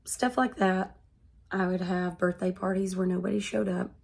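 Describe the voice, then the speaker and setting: A woman in her thirties, congested and hoarse from being sick, talking earnestly to her vlog audience. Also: on the low side, flat delivery, a little mellow. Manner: slow, earnest and measured. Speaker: vlogger. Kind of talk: personal vlog monologue.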